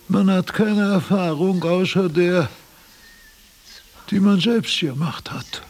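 A frail old philosopher for a radio drama. His voice sits high for a man and creaks with gravel. He speaks slowly and pedantically, imperious yet confused. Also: exaggerated voice. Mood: pedantic, dictating. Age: older. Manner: slow, pedantic, dictating.

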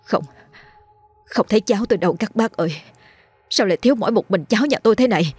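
A woman voicing distraught parents of a missing boy, crying out high and fast. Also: lively intonation, a little mellow, breathy edge, no room sound, soft background music.

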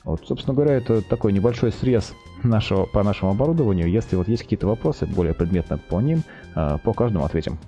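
A man with a low and very mellow voice speaks as a company guest on an informal interview show. He presents in businesslike, sales-like phrasing. Faint music sits underneath. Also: animated, quick pace, lo-fi sound. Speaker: company representative, project manager, interview guest. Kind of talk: informal product presentation and answers.

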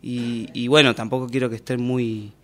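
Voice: medium pitch, little pitch movement, moderate pace, lightly acted. Someone playing a polite curious buyer. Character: polite buyer furnishing a patio. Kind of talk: improvised radio drama workshop scene.

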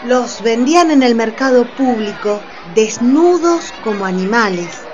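A woman's voice: a little high, lively intonation, unhurried, dull audio. A woman reading scripted narration in a radio play. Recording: crowd hubbub behind the voice.

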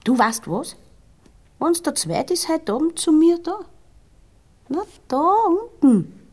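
Young Viennese streetwalker, coaxing, colloquial dialect, very lively intonation, 1960s radio drama. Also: female voice, sits high, takes it slowly, heavily acted. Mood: coaxing, eager. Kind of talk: 1960s German-language radio play acting.

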